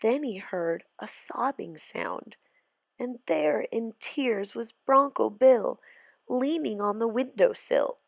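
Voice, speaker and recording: A woman reading a children's storybook aloud as expressive scripted narration. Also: a little high, slow, gravelly, no room sound, clean studio sound. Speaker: storybook reader.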